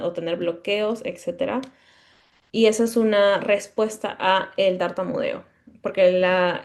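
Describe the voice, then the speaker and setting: A woman's voice: mid-range voice, a little mellow. A woman who stutters, giving a conference lecture as a speech-language pathologist, explaining step by step, with occasional mid-word restarts and checking questions to the audience.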